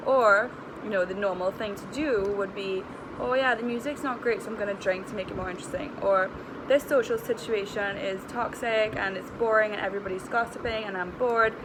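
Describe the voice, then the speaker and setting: Woman, Trinidadian accent, medium pitch, chatty health coach, informal and candid vlog talk, noticeable background rumble. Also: a little fast. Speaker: health and weight-loss coach vlogger. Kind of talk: casual personal vlog.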